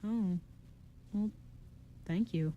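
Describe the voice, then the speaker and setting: A woman's voice: mid-range voice, drawn-out words, phone-quality sound. A woman voicing an awkward, earnest, easily embarrassed young male magic user with a hesitant stammer in an amateur radio drama. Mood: awkward, touched.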